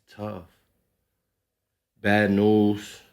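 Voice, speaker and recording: A man's voice: lowish voice, completely flat delivery, extremely slow, smooth. A young man from Long Island, a rapper guest, talking casually in slang during an interview. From Long Island, New York. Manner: laid-back, slangy, repeats himself.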